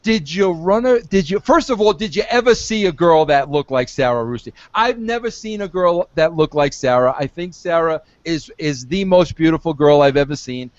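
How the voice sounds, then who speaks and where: Podcast host, male, 50s, pushy and lively, dull dry audio. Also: fairly high pitch, normal speed, few pauses, no echo. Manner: pushy, coaxing, repeats himself. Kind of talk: casual podcast chat.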